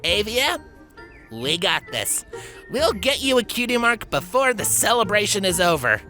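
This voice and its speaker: A man's voice: sits high, sing-song, a little slow, bright, forceful tone, faint music behind, voice pushed up, full character voice. A man voicing a brash, eager young tomboy pegasus filly in a fan fiction reading, with a bossy, confident manner. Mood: determined.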